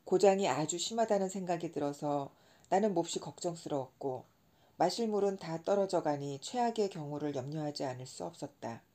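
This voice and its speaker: A woman reads storybook narration calmly and gently, at an unhurried pace. Her voice is warm and mellow and sits somewhat low for a woman. The delivery has a relaxed, audiobook feel. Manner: calm, gentle reading.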